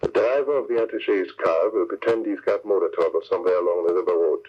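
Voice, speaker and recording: An actor playing a cold-blooded, scheming spy boss in a 1940s radio crime serial, with measured, formal, persuasive talk. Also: male voice, low voice. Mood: calculating. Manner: smooth-talking, measured, formal. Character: scheming, cold-blooded male spy ringleader offering a deal. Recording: dull, noisy old radio broadcast recording.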